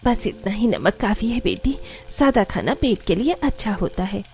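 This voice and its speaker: Woman playing a humble, soft-toned mother. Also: mid-range voice, sing-song, moderate pace. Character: humble, gentle poor mother of the bride. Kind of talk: Hindi cartoon story acting.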